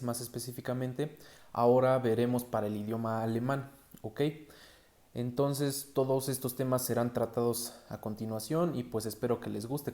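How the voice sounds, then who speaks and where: A Mexican man hosts a podcast on his own in a casual, chatty style. His voice sits at a medium pitch with a soft, relaxed tone. He talks informally, dropping in filler words and small tag questions as he explains things. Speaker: podcast host. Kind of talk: casual solo podcast talk.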